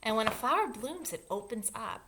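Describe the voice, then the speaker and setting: A woman teacher reading a children's storybook aloud expressively, pausing to explain things to young listeners. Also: on the high side, lively intonation, background noise.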